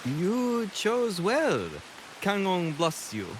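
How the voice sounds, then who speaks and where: An actor playing an earnest, loyal ally in historical Japan in a video game cutscene, speaking in a halting, deliberate way. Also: male voice, high voice, big pitch swings, full character voice. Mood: solemn, halting. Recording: hiss and rain noise behind.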